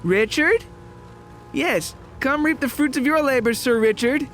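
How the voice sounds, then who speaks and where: A man's voice: extremely high pitch, measured pace, fairly bright tone, soft background music, voice pushed up. A man voicing a murderous, gleefully polite villain in an audio horror story, grandiose and taunting in his courtesy. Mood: gloating, mock-courteous.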